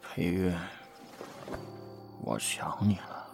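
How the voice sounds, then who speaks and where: A man's voice: low voice, animated, extremely slow, gravelly, music in the background, full character voice. A man playing a confident, attentive suitor and businessman in an audio drama, speaking in an assured, teasing, intimate way. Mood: tipsy longing.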